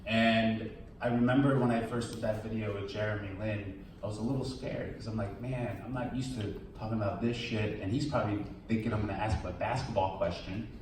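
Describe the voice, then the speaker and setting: A man's voice: fairly low pitch, steady tone, a little fast, darkish tone, a little hiss or hum. A man answering questions at a film premiere Q&A, talking candidly and casually and often quoting his own thoughts. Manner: candid, casual, quotes himself. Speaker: documentary subject. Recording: echoey hall, from far off.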